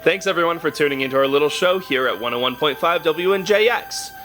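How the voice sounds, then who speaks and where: A man's voice: a little high, fast, fairly bright tone, slight background noise, lightly acted. A man playing a skeptical, grumbling radio co-host in an audio drama, blunt and sardonic. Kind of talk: radio drama, conversational broadcast banter.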